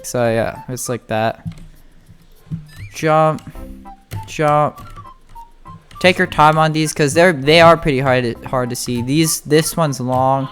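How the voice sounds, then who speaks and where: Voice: mid-range voice, takes it slowly, pauses often. A gamer giving a casual, chatty video game walkthrough, talking informally and straight to viewers. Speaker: gamer making a tutorial. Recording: music playing behind the voice. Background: music.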